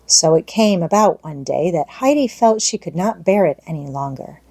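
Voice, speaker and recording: A woman's voice: fairly low pitch, lively intonation, moderate pace, vocal fry, warm tone. A woman reading a children's storybook aloud as an audiobook narrator.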